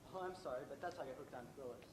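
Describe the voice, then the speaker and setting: A man's voice: mid-range voice, very fast, natural voice. A man playing an eager, polite young aspiring playwright in an amateur stage play. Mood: eager, admiring. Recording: distant, echoey, noisy stage recording.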